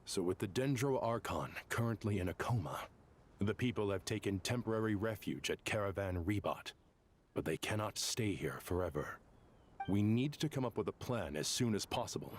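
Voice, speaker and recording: Casting: serious, decisive male authority figure for a game male actor, lowish voice, businesslike delivery. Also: animated, a little hiss or hum, lightly acted. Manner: formal, measured, businesslike. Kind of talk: video game voice acting.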